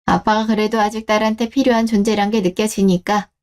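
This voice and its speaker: A woman's voice: medium pitch, level tone, a little fast, a little mellow, close to an everyday voice. A woman playing a caring, perceptive older father in a scripted radio drama. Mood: teasing, caring.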